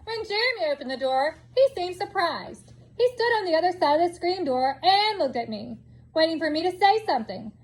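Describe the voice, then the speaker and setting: A woman reading a children's picture book aloud in an expressive storytelling way, drawing out words for emphasis. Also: very high voice, brisk, poor audio. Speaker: storybook reader.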